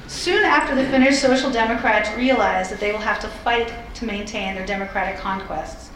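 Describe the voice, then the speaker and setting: A woman giving a scripted history lecture in a measured, articulate way, with the occasional informal aside. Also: a little high, fairly bright tone. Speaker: lecturer presenting a historical talk. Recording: roomy echo with faint background noise.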